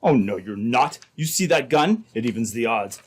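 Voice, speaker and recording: Casting: male actor as hard-bitten crooked businessman in a radio play, insistent, blunt, mid-pitched. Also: animated, normal speed, breathy edge. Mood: defiant, threatening. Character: crooked, hard-bitten trading company man in debt. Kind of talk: old-time radio drama acting.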